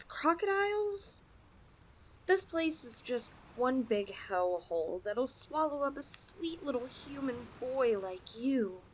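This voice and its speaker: Woman voicing a high, lilting, possessive lamia girl. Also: breathy edge, full character voice, rough recording. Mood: coaxing, protective. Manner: coaxing, playful, dramatic swings in delivery. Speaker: audio roleplay performer. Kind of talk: ASMR roleplay, acted monologue addressed to listener.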